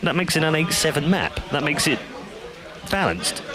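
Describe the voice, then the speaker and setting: A man commentating a live esports match, heavy on stats and building to excited play-by-play calls. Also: on the high side, normal speed, a crowd in the background.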